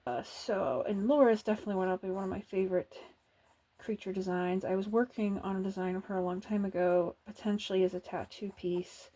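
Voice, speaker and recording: A woman chatting softly as she draws for an ASMR video, thinking aloud with hesitant pauses and often slipping into whispers, close to the mic. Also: medium pitch, little pitch movement, rough recording. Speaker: artist drawing on camera. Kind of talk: soft-spoken ASMR drawing chat.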